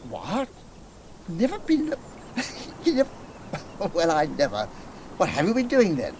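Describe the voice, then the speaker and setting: An actor playing a jovial, dreamy, gentlemanly water rat who relishes every word in a radio play. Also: male voice, high voice, wildly swooping pitch, unhurried, full character voice. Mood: amused, incredulous. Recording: noisy old radio broadcast tape.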